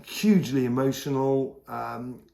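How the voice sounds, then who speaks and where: A hoarse man giving a casual, rambling tarot reading, full of fillers and restarts. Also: mid-range voice, steady tone, measured pace, warm tone, roomy sound. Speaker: tarot reader.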